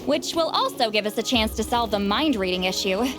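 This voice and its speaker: A woman's voice: mid-range voice, lively intonation, brisk, close to an everyday voice. A woman voicing a centuries-old shaman with a youthful voice, shy and easily flustered. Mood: calm. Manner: hesitant, stammering, fussy. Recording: game audio with faint music.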